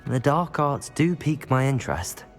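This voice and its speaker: An actor playing a calm, curious young student wizard, measured and polite, in a video game cutscene. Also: male voice, medium pitch, lightly acted. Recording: music under the voice.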